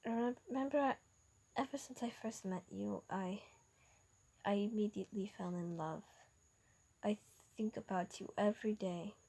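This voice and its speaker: A woman voicing a nervous young man, quietly and hesitantly proposing in an imitated Norwegian accent in a fan story reading. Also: mid-range voice, normal speed, long pauses, close to an everyday voice. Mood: tender, shy.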